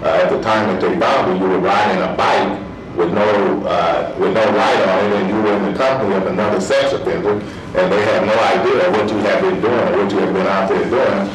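Deep-voiced man chairing a hearing, distant and echoey. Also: steady tone, music in the background. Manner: procedural, halting, repeats himself. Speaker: parole board hearing chair. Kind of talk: formal hearing questioning, unscripted.

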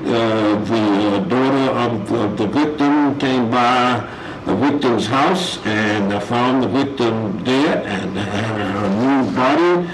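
A man on a parole board asking questions at a hearing, formal and hesitant, full of fillers and frequent use of sir. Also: medium pitch. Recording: echoey room with loud noise.

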